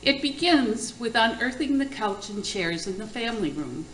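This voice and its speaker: A woman's voice: fairly high pitch, very animated, normal speed, fairly bright tone. A woman reading her narrative poem aloud as a poet at a radio show. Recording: echoey room, faint noise.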